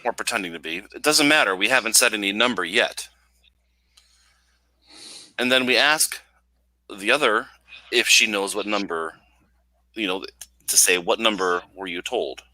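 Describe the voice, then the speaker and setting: A man's voice: fairly low pitch, normal speed, long pauses, sharp tone, no echo, low-quality recording. A man playing a tabletop game, earnestly laying out a plan to the table. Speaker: player.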